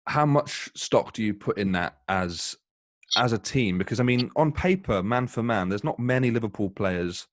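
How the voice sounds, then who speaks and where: A man's voice: on the low side, animated, normal speed, no echo, clean studio sound. A man hosting a casual football podcast, asking long, jokey questions. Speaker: podcast host.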